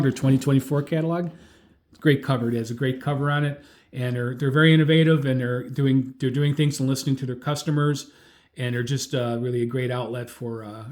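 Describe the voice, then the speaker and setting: A man hosting a casual hobby radio show, talking in a halting, hesitant way with many filler pauses. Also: mid-range voice, a little mellow.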